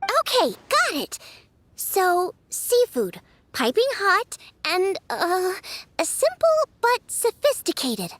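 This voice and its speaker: Very high, perky young cook. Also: female voice, wildly swooping pitch, takes it slowly, a bit bright, dry recording, full character voice. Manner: perky, friendly, earnest. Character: cheerful, eager teenage girl cook. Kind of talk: video game cutscene acting.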